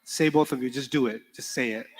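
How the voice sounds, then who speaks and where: A man whose first language is Urdu, teaching an Arabic class in a relaxed, joking manner and quizzing his students. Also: medium pitch, a little fast, big-room sound. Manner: playful, joking, quizzes students in call-and-response. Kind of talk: live classroom lecture with storytelling.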